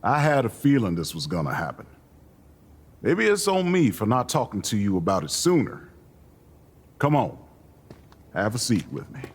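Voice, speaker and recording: Folksy, lively-voiced male confidant. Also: lowish voice, moderate pace, long pauses, breathy edge. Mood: gentle, calming. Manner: folksy, gently coaxing, reassuring. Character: folksy, warm-hearted older mentor friend, gently teasing. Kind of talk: audio drama acting. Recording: music underneath.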